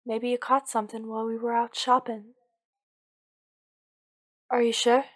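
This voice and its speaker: A woman's voice: fairly high pitch, level tone, unhurried, a bit bright. A woman playing an anxious, possessive villain lover in an intimate ASMR roleplay, speaking in an earnest, pleading, insistent way. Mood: worried, coaxing. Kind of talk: ASMR roleplay acting, one-sided dialogue.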